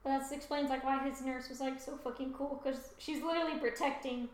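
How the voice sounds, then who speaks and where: A woman's voice: high voice, steady tone, brisk. A woman co-hosting a podcast, chatting casually and rambling with lots of "like.". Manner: rambling, laid-back with filler "like". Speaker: podcast co-host. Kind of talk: casual chat. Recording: echoey room.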